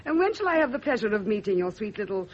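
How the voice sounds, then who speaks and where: A woman's voice: medium pitch, sing-song, fast. An actress playing a proud, bitterly ironic middle-aged British woman in a radio drama. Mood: brittle, mocking. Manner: arch, brittle, mocking. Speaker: actor in a radio play. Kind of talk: 1970s radio drama acting. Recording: dull, lo-fi old radio recording.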